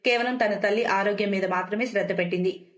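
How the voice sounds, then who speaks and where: A woman's voice: medium pitch, steady tone, quick pace. A woman storyteller reading scripted narration for a moral story.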